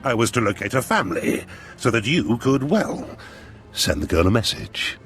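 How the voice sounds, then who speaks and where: A haughty game-villain duke with a lively, swooping delivery. His speech is formal and pompous, that of a slippery, scheming courtier. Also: medium pitch, moderate pace, soft background music. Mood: ingratiating. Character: haughty, scheming male noble Sith duke. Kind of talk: video game cutscene acting.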